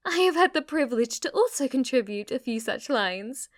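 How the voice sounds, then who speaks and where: A woman's voice: high-pitched, animated, moderate pace, no room sound, clean studio sound. A woman playing an obsessively devoted, submissive maid in an ASMR roleplay, speaking formally, reverently and flirtatiously to her master. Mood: eager, adoring.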